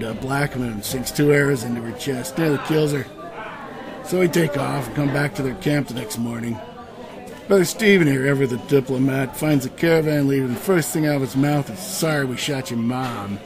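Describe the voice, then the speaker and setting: Man playing joking fantasy party leader, skeptical, sarcastic, casual, average pitch, comedy audio drama, noticeable background music. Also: moderate pace, natural voice. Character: wisecracking, skeptical, down-to-earth male adventurer leader. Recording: music under the voice.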